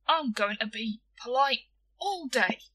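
A woman's voice: fairly high pitch, big pitch swings, normal speed, pushed and bright, breathy edge, heavily acted. A woman voicing an earnest, self-important young boy in a story, blunt and stiltedly polite with clumsy grammar. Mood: earnestly polite.

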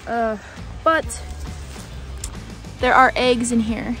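A woman's voice: a little high, very slow, fairly bright tone, faint music behind. A middle-aged woman chatting casually and thinking aloud as she works outdoors in a bee yard, informal and exclamatory. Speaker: beekeeping vlogger. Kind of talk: casual chat while working.

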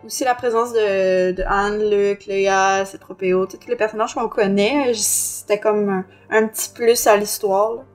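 A female podcast co-host speaks with a Quebec French accent at a medium pitch. She is giving her part of a review show, with soft music running behind her voice. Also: fairly even intonation, moderate pace, few pauses. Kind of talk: casual review discussion, partly reading aloud. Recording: music under the voice.

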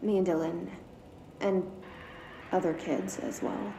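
A woman's voice: lowish voice, fairly even intonation, takes it slowly. An actress playing a guarded young woman who answers hesitantly and turns defensive about her childhood. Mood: remembering. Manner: hesitant, defensive when pressed. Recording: echoey room with faint noise.

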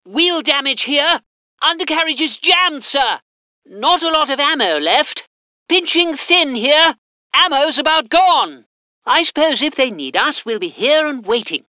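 A woman's voice: high voice, animated, unhurried, bright, forceful tone, no room sound, studio quality, full character voice. A woman voicing an eager, dutiful British army train crew soldier in a military strategy game, with clipped military reporting. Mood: worried. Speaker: game voice actor.

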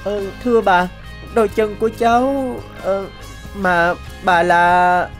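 A woman's voice: mid-range voice, takes it slowly, faint music behind, natural voice. A woman voicing an eager, plucky, polite boy in a cartoon fairy tale. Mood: bewildered. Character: eager, plucky, polite young youngest brother.

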